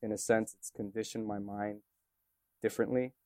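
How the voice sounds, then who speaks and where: Romanian-born man, native Romanian speaker, smooth tone, musing casual answers, loose and rambling. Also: lowish voice, little pitch movement, normal speed, mellow, no echo, clean studio sound. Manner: reflective, rambling with many fillers. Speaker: interviewee, photographer and musician.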